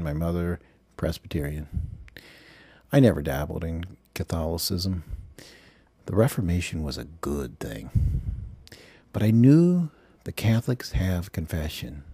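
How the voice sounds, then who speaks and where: A man playing a bitter, self-pitying middle-aged FBI agent who dictates confessions in a sardonic, deliberate way, in an audiobook. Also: low voice, warm tone, no room sound. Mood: confessional. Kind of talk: dramatized audiobook monologue.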